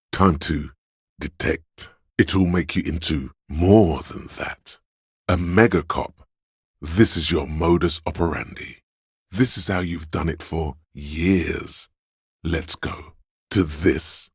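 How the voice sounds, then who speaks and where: A man voicing a game character, the inner voice of addiction, a husky, seductive, goading hedonist who coaxes and mocks as he savors each word. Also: no echo, studio quality, heavily acted. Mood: swaggering, hyping. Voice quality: husky, gravelly bass. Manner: slow, coaxing, savoring words, mocking. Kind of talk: dramatic second-person game narration.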